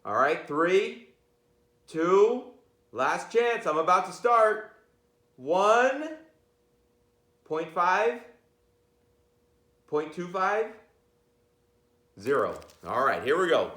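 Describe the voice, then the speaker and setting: A man's voice: high voice, very animated, very slow, pauses often, fairly bright tone. A man reading a children's picture book aloud, playfully talking to his listeners with chatty asides. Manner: playful, addresses listeners directly. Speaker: read-aloud storyteller. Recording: roomy, echoey sound.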